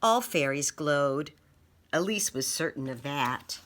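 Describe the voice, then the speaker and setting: A woman reading a children's story aloud as an expressive narrator. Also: low voice, slow pace. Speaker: storybook reader.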